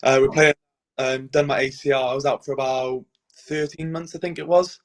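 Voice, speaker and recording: A man's voice: mid-range voice, normal speed. A man in his twenties with a Cardiff Welsh accent, a goalkeeper answering interview questions casually with many "um"s. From Wales. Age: 20s. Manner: relaxed, many "um"s and "and stuff". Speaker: guest goalkeeper.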